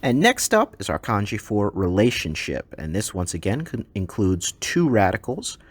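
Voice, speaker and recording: A man whose first language is English, teaching a recorded slide lesson in a relaxed way with frequent ums and uhs. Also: on the low side, normal speed, slightly rough.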